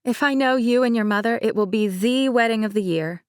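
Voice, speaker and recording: A woman's voice: a little high, fairly quick, clean tone, no room sound, studio quality, pitched above the performer's own voice, natural voice. A woman doing a direct, earnest young planner.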